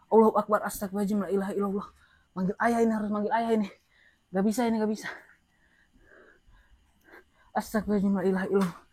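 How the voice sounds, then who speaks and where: A frightened woman shouting repeated prayers in panic and calling out for help. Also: medium pitch, flat delivery, rapid-fire, long pauses, no echo. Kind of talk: frantic real-time reaction.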